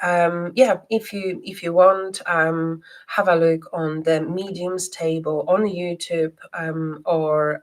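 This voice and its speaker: A woman psychic hosting a live stream who speaks non-native English with a slight Scottish twang. She hesitates often, filling pauses with ums and restarts, and turns soft and guiding when she leads a reading. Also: sits low. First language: not English.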